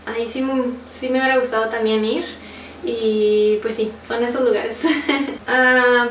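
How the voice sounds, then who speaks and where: A young woman with a Mexican accent, casually chatting and laughing often as she answers viewer questions on a sofa at home. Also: high-pitched. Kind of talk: casual Q&A chat. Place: living room, on a sofa. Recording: roomy, slightly distant, faint hiss.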